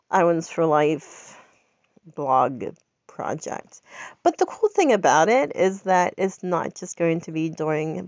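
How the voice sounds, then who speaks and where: A woman's voice: on the low side, darkish tone, no room sound, highs cut off. A woman, congested from a head cold, chatting in a rambling, upbeat way as a podcast host. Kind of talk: casual personal chat.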